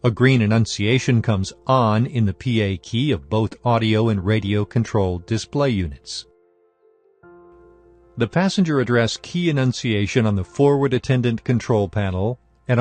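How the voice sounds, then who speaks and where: A man reading a scripted technical training lesson in a formal, measured, instructional manner. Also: medium pitch, darkish tone. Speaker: instructor narrator.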